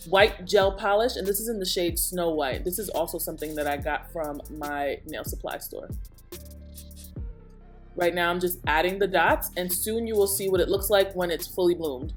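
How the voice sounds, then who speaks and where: Woman narrating a beauty tutorial. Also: on the low side. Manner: steady product walkthrough. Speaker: beauty vlogger. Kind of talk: scripted voice-over narration. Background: soft music.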